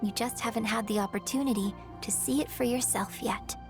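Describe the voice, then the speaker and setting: A woman voicing a polite, earnest young opera artist who speaks with courtesy and warmth of conviction. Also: a little high, steady tone, a little fast, close to an everyday voice. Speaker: opera writer and performer. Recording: game dialogue with music behind.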